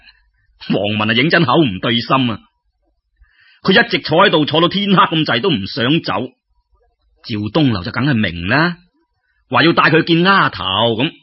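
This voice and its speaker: Husky, expressive male storyteller. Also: medium pitch, normal speed, pauses often, lo-fi sound. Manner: expressive, conversational, addresses listeners directly. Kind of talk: dramatic oral storytelling of folk tales.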